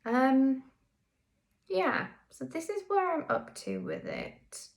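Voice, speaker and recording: A woman's voice: high-pitched, wildly swooping pitch, slow, vocal fry. A woman singer and vocal coach from the UK talking candidly in a casual vlog, with frequent ums and self-corrections.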